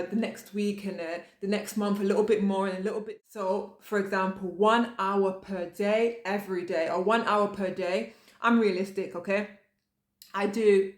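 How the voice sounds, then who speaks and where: Chatty woman from the UK, echoey room. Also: mid-range voice. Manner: chatty, many fillers and restarts. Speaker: vlogger. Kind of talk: casual talking-to-camera advice.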